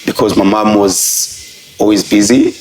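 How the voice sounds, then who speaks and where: A man's voice: fairly low pitch, fairly even intonation, unhurried, a little mellow, faint music behind. A man, a professional fighter, talking earnestly about his life as an interview guest. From Zambia. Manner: earnest, frequent "I would say" and "you know". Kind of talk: reflective interview talk. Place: distillery room. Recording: echoey room.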